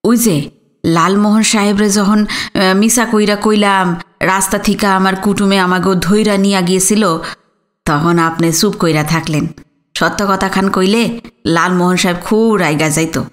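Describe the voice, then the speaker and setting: Woman voicing young village man, East Bengali accent, lower-pitched than her narration, eager, deferential, storybook. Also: fairly even intonation, unhurried, lightly acted. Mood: admiring, conspiratorial. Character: rustic, eager young village boatman, male servant.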